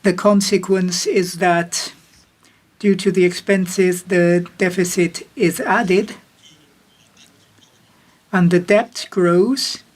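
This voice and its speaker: A woman giving a simultaneous interpretation of a panel chair's remarks, measured, with occasional restarts and self-corrections. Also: lowish voice, steady tone. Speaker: conference interpreter.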